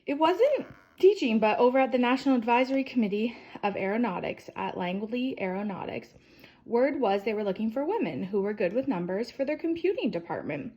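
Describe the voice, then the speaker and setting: A woman reading a children's picture-book biography aloud as a storyteller, with deliberate phrasing, emphatic sentence starts and softly falling endings. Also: on the high side, expressive, dull audio.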